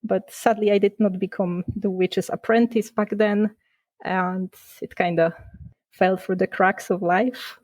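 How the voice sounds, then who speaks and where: A young woman from Poland chats through an interview, restarting words and laughing between points. Her voice sits in a middle pitch, recorded dry and close. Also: steady tone, measured pace, a little mellow, no echo. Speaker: guest, game designer. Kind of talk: casual podcast interview.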